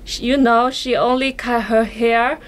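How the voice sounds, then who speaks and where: A woman voicing a friendly, apologetic puppet character in an amateur skit, with a halting, learner-like delivery. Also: fairly high pitch, flat delivery, lightly acted. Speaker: amateur puppet show performer. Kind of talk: scripted amateur dialogue.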